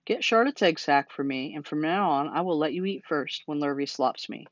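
A reader voicing an earnest, excitable young storybook pig. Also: medium pitch, normal speed, no echo, highs cut off, close to an everyday voice. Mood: desperate pleading. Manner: pleading, excitable, dramatic. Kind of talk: children's storybook character reading.

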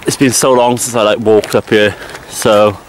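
A man's voice: on the low side, fairly even intonation, normal speed, a little hiss or hum, low-quality recording. A man in his twenties with a South Wales accent, chatting informally and thinking aloud as he films a walking vlog. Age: 20s. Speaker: hiking vlogger. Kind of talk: casual vlog commentary while walking. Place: outdoors on a woodland hiking trail. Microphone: handheld camera at arm's length. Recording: outdoor walking vlog with music behind. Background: music.

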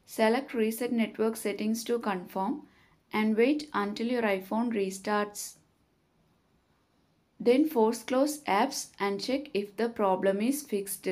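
Slow-paced woman narrating step-by-step instructions. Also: on the high side, darkish tone. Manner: measured, instructional. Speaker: tutorial narrator.

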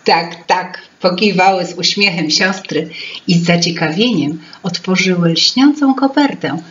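A woman in her fifties reading a fairy tale to children, expressive and lingering, with whispered asides. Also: fairly low pitch, slight background noise. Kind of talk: storybook narration for children. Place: small room at home with paintings. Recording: dull lo-fi home recording.

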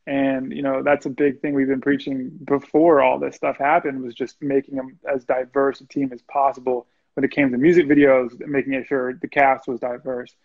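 A man's voice: mid-range voice, little pitch movement, normal speed, hardly any pauses, narrow, tinny audio. A young man, a musician guest, speaking earnestly and reflectively in a casual video-call interview. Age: young adult. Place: small room indoors. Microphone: video call, earbuds.

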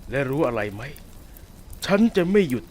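A man voicing a sadistic, possessive werewolf who taunts a captive listener in a roleplay audio drama. He speaks softly and teasingly, with a menacing edge. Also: mid-range voice, sing-song, racing, a little hiss or hum, natural voice.

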